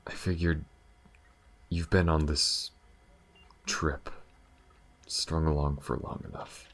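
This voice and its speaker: A man playing a gentle, battle-weary alien lover in an intimate ASMR roleplay, speaking softly and haltingly with a husky, breathy voice. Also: very deep voice, little pitch movement, exaggerated voice. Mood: tender, earnest. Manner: soft, halting, hesitant, sighing delivery.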